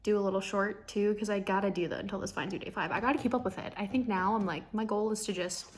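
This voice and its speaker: A woman's voice: mid-range voice, steady tone, quick pace. Casting: young female vlogger, mid-range voice, informal and confiding, loosely rambling through personal updates. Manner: rambling, confiding, self-talk with trailing thoughts. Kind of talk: casual personal vlog, talking to camera.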